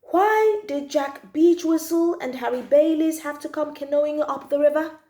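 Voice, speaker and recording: A woman reading a children's story aloud in an expressive, emphatic manner, drawing out key words and adding little asides to listeners. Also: high voice, unhurried, roomy sound. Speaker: storytime channel host reading children's books.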